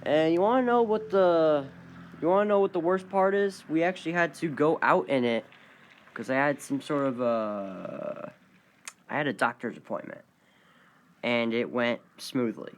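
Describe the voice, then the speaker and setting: A man's voice: on the high side, moderate pace, a little hiss or hum. A man giving casual, off-the-cuff vlog commentary in a rambling, conversational way. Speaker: vlogger.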